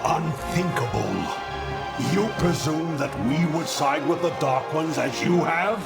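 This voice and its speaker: This is a haughty alien judge from a video game, stern and full of disdain. He draws his formal words out slowly with pompous condescension. Music plays clearly behind him. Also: on the high side, lively intonation. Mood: indignant, stern. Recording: music under the voice.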